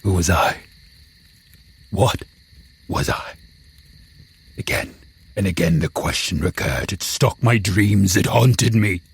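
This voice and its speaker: An actor playing a lonely, eloquent man-made creature, telling his tale in a solemn, brooding audio drama voice with a gravelly, husky edge. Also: deep voice, takes it slowly, long pauses, full character voice. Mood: anguished, brooding. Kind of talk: dramatic first-person audio drama narration.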